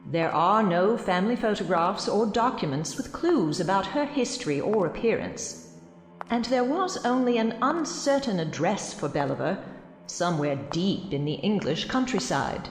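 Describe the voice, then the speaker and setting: A woman's voice: medium pitch, expressive, normal speed, faint music behind, lightly acted, rough recording. A woman playing a mysterious guide, narrating a radio drama in a theatrical storytelling manner. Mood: mysterious, inviting. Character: mysterious female guide narrating dark tales from a crypt.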